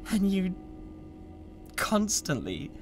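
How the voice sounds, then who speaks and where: A woman's voice: medium pitch, very animated, extremely slow, a little hiss or hum. A British woman playing an unhinged, condescending mad scientist with a god complex in a theatrical ASMR roleplay. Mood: contemptuous, menacing. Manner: theatrical, condescending, swinging between hushed and screaming. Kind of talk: dramatic ASMR roleplay monologue.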